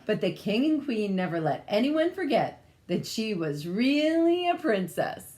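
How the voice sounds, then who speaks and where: Casting: woman storyteller for picture books, lively pitch movement, slow pace, informal commentary between passages. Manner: expressive, pausing to comment on the pictures. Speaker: picture-book reader. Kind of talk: read-aloud storytelling for children with casual asides.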